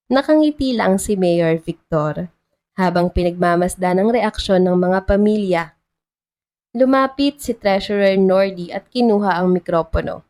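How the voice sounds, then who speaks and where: A woman's voice: mid-range voice, a little mellow. A woman giving scripted, dramatic narration of a love story as the storyteller.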